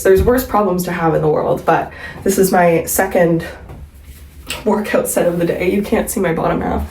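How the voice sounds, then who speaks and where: A woman's voice: lowish voice, a little mellow, slight background noise, rough recording. A young woman vlogging at home, talking casually to the camera with a stuffy, congested voice and lots of "like" and "um" fillers. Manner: chatty, rambling, frequent "like" and "um". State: congested, recovering from a cold. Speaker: vlogger and pilates instructor. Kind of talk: casual vlog talk to camera.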